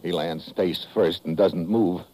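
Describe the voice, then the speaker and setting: An American actor playing a tough, wisecracking secret agent in a 1950s radio drama, sardonic and hard-boiled. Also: male voice, sits low, fairly even intonation, a little slow, noisy background. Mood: tense narrating. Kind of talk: 1950s radio drama acting with first-person narration.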